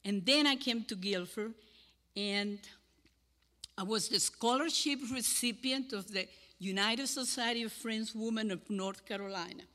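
An older woman from Cuba with a Cuban Spanish accent, giving an earnest sermon to a congregation and pausing between phrases. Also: fairly high pitch, pushed and bright. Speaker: guest speaker. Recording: hall with long reverb.

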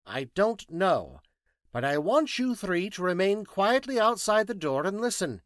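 Man acting curt, high-pitched scientist sleuth. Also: very animated, unhurried, no room sound, clean studio sound. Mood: grave, commanding. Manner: curt, precise, didactic. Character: elderly professor-detective, curt, petulant, exacting.

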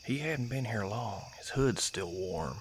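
A man playing a wary, grim old man from a horror radio play. The voice is strikingly high and swings in pitch, over a noisy track full of night insects. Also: expressive, measured pace. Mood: urgent. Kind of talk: horror audio play acting.

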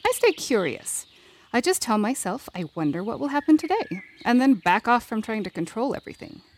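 A woman's voice: on the high side, sing-song, fairly quick, a little hiss or hum. A woman playing a candid, wry leader and loyal confidante in a fiction podcast drama, with a blunt, teasing manner. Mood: wry, composed.

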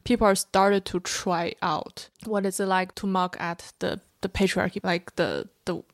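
A woman's voice: on the low side, flat delivery, unhurried, dry recording, clean studio sound. Frank woman comedian, filler-heavy. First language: Chinese. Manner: frank, loose, many filler "like"s. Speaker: stand-up comedian guest. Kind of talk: casual interview talk. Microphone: podcast microphone.

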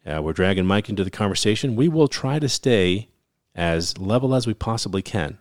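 A man's voice: lowish voice, lively intonation, brisk, dry recording, clean studio sound. A man co-hosting a sports talk podcast, talkative and joking as he leads the conversation. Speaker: podcast co-host. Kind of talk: casual chat.

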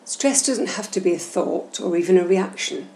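A woman guiding a meditation session in a soothing, gentle, measured and instructional way. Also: medium pitch, vocal fry. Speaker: guided meditation host. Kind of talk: slow, soothing guided meditation narration. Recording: roomy echo, faint background noise.

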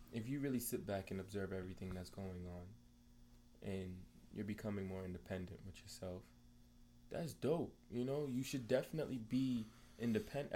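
A man's voice: on the low side, dark tone. A man in his twenties sharing opinions casually in a group discussion, with background noise in the recording. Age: 20s. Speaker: discussion panelist.

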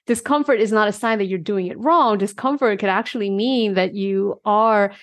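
A woman's voice: mid-range voice, moderate pace. A woman hosting an interview podcast, a leadership coach who asks questions eagerly and affirms her guest often. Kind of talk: conversational interview.

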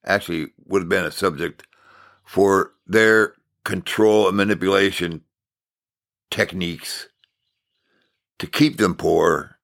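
Slow, deep-voiced American man preaching. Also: fairly bright tone. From Minnesota, United States. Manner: deliberate, halting phrases with emphatic repetition. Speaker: Bible teacher, preacher. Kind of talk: informal sermon with storytelling.

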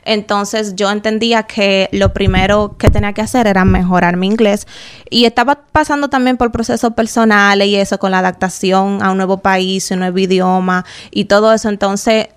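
A young woman from the Dominican Republic talks with a Dominican Spanish accent as a guest in a radio studio. Her voice is smooth and clear at a middle pitch. She speaks earnestly and reflectively, pausing often on fillers. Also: fairly even intonation. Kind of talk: radio interview.

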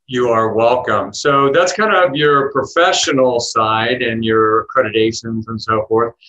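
A man's voice: medium pitch, measured pace, pushed and bright, muffled recording. A man hosting an interview podcast, introducing a guest and asking upbeat questions. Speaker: podcast host and lawyer.

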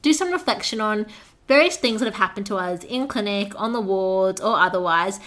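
A woman's voice: mid-range voice, animated, brisk, fairly bright tone. A young woman with an Australian accent, hosting a podcast in a casual, chatty style. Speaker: podcast host. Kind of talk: casual chat.

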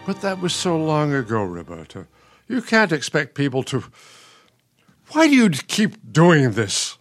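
An actor playing a patient, nostalgic older husband in a radio play, gentle and reflective. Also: male voice, fairly high pitch, huge pitch swings, a little slow, faint music behind. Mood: weary, defensive. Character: patient, nostalgic elderly husband, soothing and wistful.

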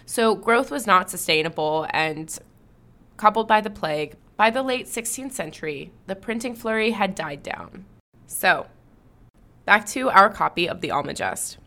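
A woman's voice: mid-range voice, lively intonation, measured pace, sharp tone. Woman narrating a history podcast. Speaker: podcast host. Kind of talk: scripted narration.

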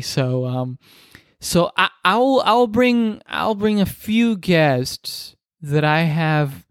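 A man's voice: on the high side, clean tone, dry recording, clean studio sound. A man in his twenties whose first language is Spanish, hosting a solo podcast in earnest, reflective talk with many restarts and fillers. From Dominican Republic. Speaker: podcast host.

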